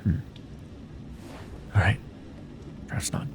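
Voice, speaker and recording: A man playing a courteous nocturnal vampire therapist in an ASMR roleplay, speaking formally and gently to the listener in a soothing, slightly hesitant way. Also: slow, noisy background, lightly acted. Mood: hushed, hypnotic.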